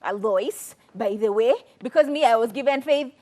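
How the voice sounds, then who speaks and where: A woman's voice: a little high, moderate pace, a little mellow. A woman in her twenties hosting a casual talk show, playful and joking as she asks her co-hosts questions. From Kenya. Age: 20s. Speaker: show host. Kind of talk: casual chat.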